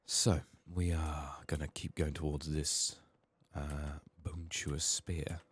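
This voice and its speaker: A man with a sick, strained voice murmuring soft-spoken ASMR gaming commentary, sometimes near a whisper, thinking aloud close to the mic. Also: level tone, takes it slowly. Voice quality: husky, gravelly, very low. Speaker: game streamer making a playthrough.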